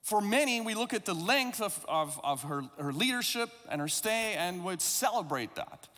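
A man's voice: sits high, lively intonation, normal speed, sharp tone, in a large hall. A man from South Africa, English not his first language, preaching a sermon in a reflective, conversational way with a few self-deprecating asides. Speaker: pastor.

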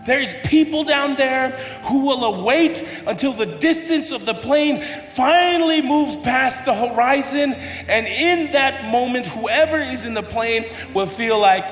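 A man preaches from the pulpit with a high voice. He tells a story and then builds to an impassioned appeal. His words ring through a large hall with a long echo, and faint music plays underneath. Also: a bit bright. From United States. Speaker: pastor. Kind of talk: sermon. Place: church hall.

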